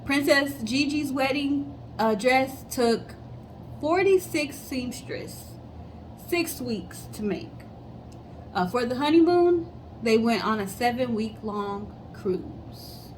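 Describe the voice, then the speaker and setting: A woman's voice: sits high, expressive, takes it slowly, a little hiss or hum, rough recording. A woman co-hosting a casual storytelling show, chatting conversationally and speaking straight to viewers. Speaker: co-host of a storytelling guessing show.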